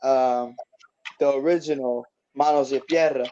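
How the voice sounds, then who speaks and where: A man's voice: mid-range voice, steady tone, a little slow, mellow, dull audio, a little hiss or hum. A man in his twenties, a boxer guest, chatting casually in an easygoing, rambling way with lots of fillers. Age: 20s. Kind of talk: casual interview chat.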